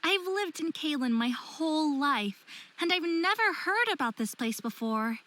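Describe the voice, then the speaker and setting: A woman's voice: high voice, expressive, measured pace, pushed and bright, full character voice. An actress playing a young part-faerie woman in a visual novel game, apologetic and wary, with a hesitant, earnest way of talking. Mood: puzzled, curious.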